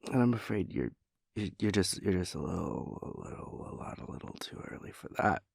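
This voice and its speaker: Gravelly, breathy, drowsy boyfriend played by a man. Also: sits low, lively intonation, darkish tone, no room sound, studio quality, heavily acted. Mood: drowsy rambling. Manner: halting, mumbling, playfully pompous wordy rambling. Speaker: audio roleplay performer. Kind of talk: intimate audio roleplay, half-whispered comic monologue.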